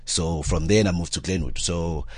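Deep-voiced young man, easygoing guest. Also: moderate pace, breathy edge, dry recording. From South Africa. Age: young adult. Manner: easygoing, modest, laughs easily. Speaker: guest, TV presenter. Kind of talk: casual interview.

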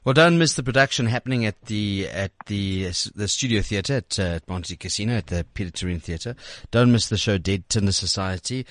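A man's voice: on the low side, no echo, studio quality. A South African man hosting a chatty radio interview in an effusive way. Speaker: radio host.

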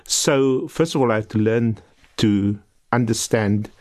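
South african guy, middle-aged, lowish voice, earnest and reflective, keeps restarting his sentences. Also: unhurried, darkish tone. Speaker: guest professor. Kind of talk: podcast interview.

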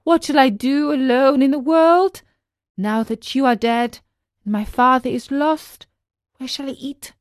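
A woman's voice: high-pitched, big pitch swings, slow pace, a bit bright, pitched above the performer's own voice, full character voice. A woman voicing a naive, impulsive wooden marionette boy in a storybook, with an exclamatory, pleading delivery. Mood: grieving, pleading. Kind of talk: storybook character voice.

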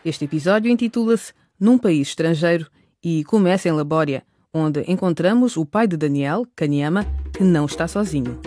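High-pitched male narrator, dry sound. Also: animated, moderate pace, darkish tone, no room sound, soft background music. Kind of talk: scripted radio drama narration.

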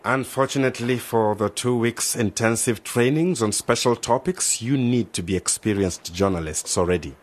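A man's voice: medium pitch, normal speed, few pauses, close to an everyday voice. A man acting in a radio drama as a genial, helpful institute director, formal and patient as he explains things.

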